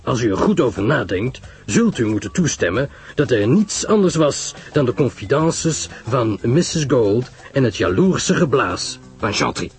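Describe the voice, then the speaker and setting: A man plays a grave, self-assured older male detective from a radio mystery. His delivery is emphatic and measured, with widely swinging pitch. Music plays along with the voice. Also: very animated. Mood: knowing, emphatic.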